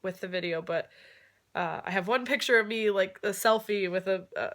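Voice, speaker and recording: A young woman with a stuffed-up nose from a cold talks casually and openly, with plenty of ums and the odd laugh. Her voice is bright and forward. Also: mid-range voice, moderate pace. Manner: chatty, many fillers, occasional laughs. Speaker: vlogger. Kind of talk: casual vlog explanation.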